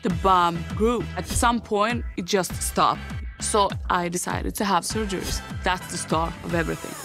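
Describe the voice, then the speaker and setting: A woman's voice: on the low side, fairly even intonation, normal speed. A young woman from Serbia, a reality-show patient, boasting and laughing through a confessional interview. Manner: boastful, laughs between lines. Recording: music behind the voice.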